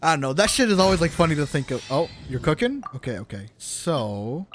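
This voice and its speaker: Animated high-pitched man streaming a game. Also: a little fast, a little hiss or hum. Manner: slangy, animated, swearing, teasing. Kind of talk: casual chat with viewers during gameplay. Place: live stream at a gaming setup.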